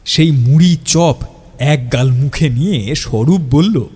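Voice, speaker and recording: A man's voice: fairly high pitch, takes it slowly, a little mellow, slightly rough. A man narrating a scripted audio story in a dramatic storytelling style.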